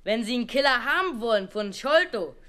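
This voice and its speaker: A woman's voice: a little high, animated, fairly quick, pushed and bright. A woman playing a cocky, irreverent young street boy in a German radio play. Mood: cheeky, self-important. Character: cheeky, pert London street boy.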